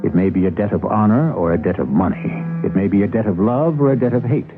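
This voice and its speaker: A man hosting a radio mystery, narrating in an ominous, dramatic manner with deliberate phrasing. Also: fairly low pitch, over music. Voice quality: gravelly, husky. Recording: tinny, slightly noisy radio broadcast.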